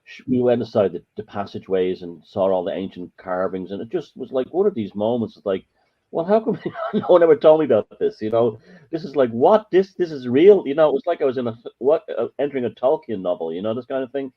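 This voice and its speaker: A man's voice: mid-range voice, animated, fast. An Irish man, a podcast guest, telling stories in a rambling, emphatic way. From Ireland. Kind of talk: casual storytelling chat. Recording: muffled lo-fi audio.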